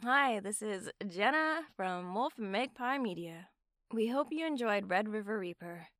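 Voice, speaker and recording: A woman's voice: medium pitch, animated, unhurried, a bit bright, no echo, studio quality. A woman giving a friendly scripted outro as the creator of an audio drama.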